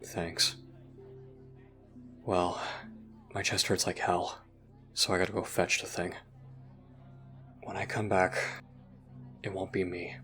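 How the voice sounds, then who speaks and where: A man plays a moody small-town teenage boy in an audio roleplay. His voice is very low with a clear bright edge. He talks slowly and haltingly, in casual slang, over faint music. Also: little pitch movement, exaggerated voice. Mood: subdued, uneasy. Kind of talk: audio roleplay acting, conversational.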